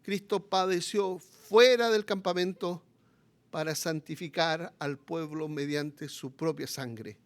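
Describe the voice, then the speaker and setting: A man with a Chilean accent, preaching a sermon as pastor, swinging between loud, impassioned appeals and quiet, low passages. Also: slow pace.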